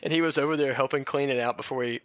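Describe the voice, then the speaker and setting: Male podcast host, forceful bright tone, mock-biography reading with casual jokey asides, slightly dulled recording. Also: mid-range voice, steady tone, very fast, dry recording, highs cut off. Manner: jokey, often breaking into laughter mid-sentence.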